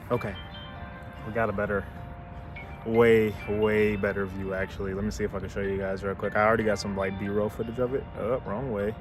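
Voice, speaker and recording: A young man in his early twenties talks through his thoughts in a low, unhurried voice, trailing off and restarting as he searches for words. He is recorded outdoors on a camera mic, with faint music playing underneath. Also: little pitch movement. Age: 20s. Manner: rambling, hesitant, thinking aloud. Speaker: vlogger. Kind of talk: casual reflective vlog. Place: outdoors near a car with a city view.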